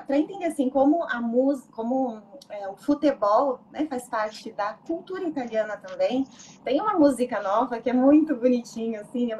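A lively woman in her thirties hosts a livestream from a small home office, talking into a webcam at her desk. Her voice sits a little high, with a faint hum in the background. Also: poor audio. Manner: lively, mixes Italian words into Portuguese. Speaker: host. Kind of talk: casual live-stream interview.

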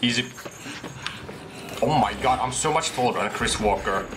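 A young man in a headset commenting casually on a game he is playing, excitable and slangy, laughing often. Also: mid-range voice, moderate pace, background noise. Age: young adult. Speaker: gaming streamer. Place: small room at a desk.

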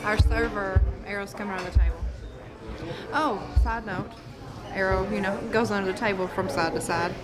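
A woman's voice: mid-range voice, steady tone, normal speed. A woman in her thirties chatting casually and playfully in a food review vlog, filmed on a handheld camera in a restaurant booth. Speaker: food vlogger. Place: busy restaurant booth indoors. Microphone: handheld camera at arm's length. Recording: loud restaurant music and crowd. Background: loud music and crowd chatter.

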